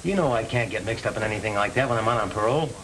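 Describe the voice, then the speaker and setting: An actor playing an earnest, determined young parolee, direct and clipped, with an American accent in 1930s film style. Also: male voice, lowish voice, very fast, close to an everyday voice. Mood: earnest, pressing. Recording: noisy old film soundtrack, clicks.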